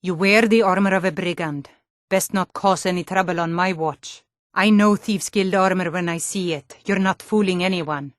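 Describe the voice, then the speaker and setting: A woman playing a tough, suspicious female Nord city guard in a fantasy video game, with a blunt, gruff, challenging and wry manner. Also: lowish voice, steady tone, normal speed, no room sound, studio quality, lightly acted. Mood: stern, threatening.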